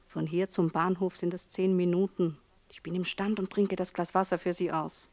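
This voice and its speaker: An actress playing a shrewd, commanding lady in a 1960s radio play, speaking calmly and pointedly. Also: lowish voice, normal speed, close to an everyday voice. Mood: cool, commanding. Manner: calm, pointed, instructing.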